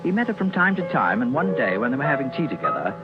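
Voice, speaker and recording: An actor as a fussy, sinister British doctor, old radio. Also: male voice, mid-range voice, big pitch swings, quick pace, close to an everyday voice. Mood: sinister, brooding. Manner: hesitant, muttering, falsely genial. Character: fussy, secretive murderous doctor narrating his confession. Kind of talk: old-time radio drama acting. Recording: dull old radio recording with music.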